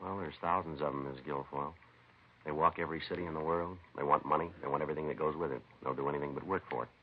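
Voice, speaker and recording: An actor playing a terse, businesslike police sergeant who narrates a 1950s radio crime drama in a deadpan, matter-of-fact way. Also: male voice, a bass voice, very fast, husky, full character voice. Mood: sober, deadpan. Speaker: narrator and lead police detective. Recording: dull vintage radio recording with music.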